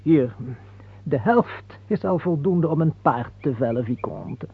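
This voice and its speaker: Suspicious, servile baron in radio drama: slow, slightly rough high voice, wary, muffled narrow-band sound. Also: male voice, expressive, full character voice. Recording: muffled, phone-like old radio play recording.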